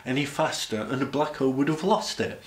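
A man of about forty from Sheffield, reciting rhyming spoken-word science poetry deliberately, with dramatic pauses. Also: medium pitch. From Sheffield, England. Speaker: presenter. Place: indoor room, speaking to camera. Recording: echoey room.

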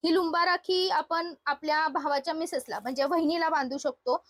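A woman with a high voice hosts a shopping vlog. She presents products in an unscripted, conversational style, talking to viewers and to the seller. The sound is dry, with little room around the voice. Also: steady tone, normal speed, a bit bright, no echo.

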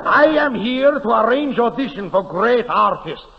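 A man's voice: very high voice, lively intonation, normal speed, gravelly, exaggerated voice. A man playing a brash, touchy Russian immigrant in broken English, with a mock Russian accent, in 1930s radio comedy. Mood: self-important. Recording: muffled, echoing 1930s radio recording with hiss.

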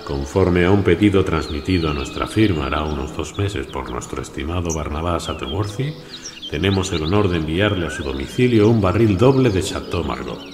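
An actor playing a formal, grave gentleman in an audio drama. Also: deep voice, expressive, a little fast, reverberant, over music.

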